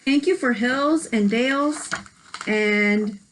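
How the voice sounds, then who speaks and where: A woman's voice: a little high, slow pace, slight background noise, rough recording. A woman co-hosting a read-aloud, reading a picture book expressively with short cooing reactions.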